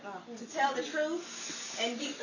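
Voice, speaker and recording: A woman performer reading a radio script haltingly, stumbling and correcting herself. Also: high voice. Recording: echoey, distant mic, faint noise.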